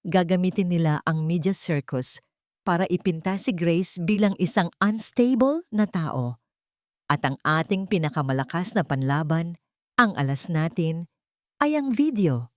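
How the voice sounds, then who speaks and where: A woman voicing a calm but sharp female lead lawyer in an audio drama, speaking formally and deliberately with English legal terms mixed in. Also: on the low side, no echo, studio quality.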